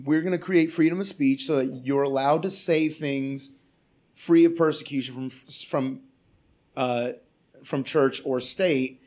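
A man's voice: medium pitch, steady tone, measured pace, darkish tone. A man, a comedian guest on a podcast, ranting in casual chat with sarcasm and swearing. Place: Portland area.

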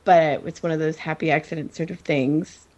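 A woman chatting casually as a podcast host in a giggly, animated way. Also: sits low, moderate pace. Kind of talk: casual TV recap chat.

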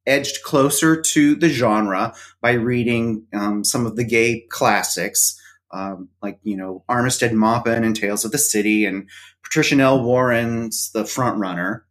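A middle-aged man of medium pitch, chatting as a guest on a podcast. He talks in a loose, hesitant way, pausing often on ums and uhs as he gathers his thoughts. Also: fairly bright tone. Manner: hesitant, many fillers. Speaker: podcast co-host, interview guest. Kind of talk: casual conversation.

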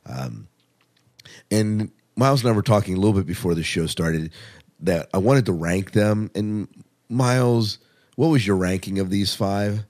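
A man with a low-pitched voice hosts a podcast in a casual, conversational style. He rambles informally as he reviews shows and freely shares his opinions. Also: moderate pace, a little mellow. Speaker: podcast host.

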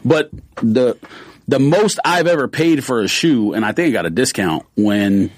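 A man's voice: medium pitch, expressive, normal speed, dry recording. A man co-hosting a podcast, chatting loosely and laughing through casual banter. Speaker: podcast co-host. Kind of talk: casual chat.

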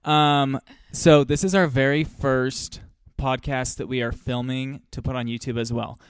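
A man's voice: mid-range voice, fairly even intonation, measured pace, clear, resonant tone, no echo. A man co-hosting a casual podcast, chatting in an upbeat, easygoing way with plenty of "um" and "like". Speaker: podcast co-host. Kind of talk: casual chat.

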